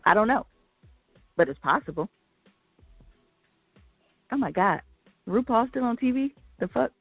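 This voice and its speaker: An American woman with a creaky voice chatting bluntly and slangily as a radio co-host, giving her opinions. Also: on the high side, lively intonation, measured pace, no echo, faint music behind. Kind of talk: casual opinionated chat. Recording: narrow tinny phone-like line.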